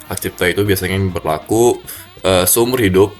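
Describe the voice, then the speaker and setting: A man with a stuffy nose giving a class presentation in a cold room, speaking haltingly with many fillers. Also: low voice, faint music behind. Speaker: student presenter.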